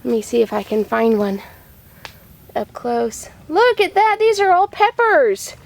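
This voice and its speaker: A woman's voice: a little high, big pitch swings, a little slow, vocal fry. A woman farmer giving an upbeat show-and-tell tour for preschoolers, explaining things in simple words. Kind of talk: simple child-directed show-and-tell narration. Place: vegetable farm, in growing tunnels and outdoor beds.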